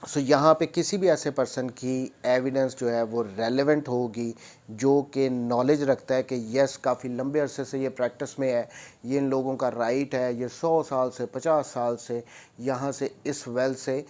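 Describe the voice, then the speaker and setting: A man's voice: mid-range voice, darkish tone. A man with a Pakistani accent lecturing on law, switching between English and Urdu as he reads out and explains, repeating phrases. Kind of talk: lecture, reading statutes aloud and explaining them.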